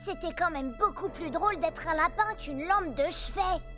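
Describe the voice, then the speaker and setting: A woman's voice: very high-pitched, moderate pace. A woman voicing a naive little girl piglet in a cartoon, childlike and candid. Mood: sulky, regretful. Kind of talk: cartoon voice acting. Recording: cartoon music behind.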